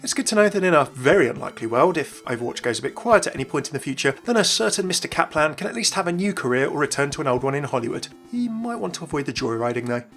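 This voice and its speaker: A young man narrates a gaming video as its host. He talks quickly in a slightly high voice. Light music runs behind his scripted delivery. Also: expressive, a bit bright.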